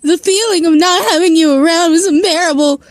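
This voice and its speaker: Story role read by a woman: tearful, guilt-ridden young man, very high and shaky, emotional breaks, dry sound. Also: darkish tone, no echo, full character voice. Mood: wailing, anguished. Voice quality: shaky, cracking voice. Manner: halting, wailing, emotional. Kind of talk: amateur dramatic story reading.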